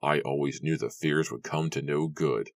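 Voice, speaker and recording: A male narrator performs a bearded villager in a horror story, grim and condemning. The voice is extremely deep and dry. He declaims with ominous fear. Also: fairly even intonation, normal speed, creaky, no room sound. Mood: grim foreboding. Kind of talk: dramatic audiobook character reading.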